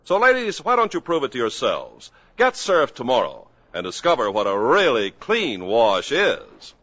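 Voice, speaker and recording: A man's voice: mid-range voice, huge pitch swings, moderate pace, pushed and bright. A man announcing on a 1950s radio program in an emphatic, upbeat manner. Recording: old radio broadcast with faint music.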